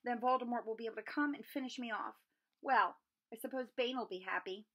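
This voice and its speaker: A woman's voice: a little high, brisk, lo-fi sound. A woman voicing an earnest, anxious young wizard boy in a children's storybook, urgent and questioning. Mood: agitated, feverish. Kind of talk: children's storybook read-aloud.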